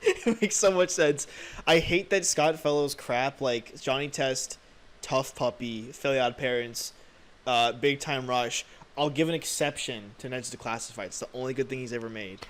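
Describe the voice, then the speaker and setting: A man's voice: medium pitch, moderate pace, fairly bright tone. A young American man co-hosting a podcast, chatting casually in a blunt, opinionated, sweary way. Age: young adult. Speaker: podcast co-host. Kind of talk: casual chat.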